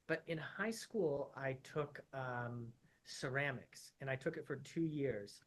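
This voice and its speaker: A man's voice: medium pitch, slow. Laid-back male surgeon in his thirties, from Utah, chatty interview guest who peppers his answers with "like", mid-range voice. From Utah, USA. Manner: relaxed, chatty, uses "like" often.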